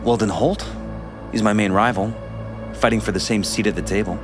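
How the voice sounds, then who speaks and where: An actor playing a polished but troubled middle-aged politician in a video game, speaking in a measured, courteous, earnest way. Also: male voice, on the low side, steady tone, lightly acted. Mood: concerned. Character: polished, troubled male politician confiding in an investigator. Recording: music behind.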